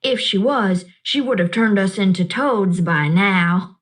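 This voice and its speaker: Cheeky blunt boy, loud drawl, storybook reading, played by a woman. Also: medium pitch, measured pace, pitched below the performer's own voice, close to an everyday voice. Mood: teasing.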